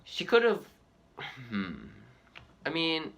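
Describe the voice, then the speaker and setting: A man giving casual, unscripted fan commentary as a reaction video host, halting and repeating himself as he goes. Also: fairly high pitch, wildly swooping pitch, a bit bright, slight background noise.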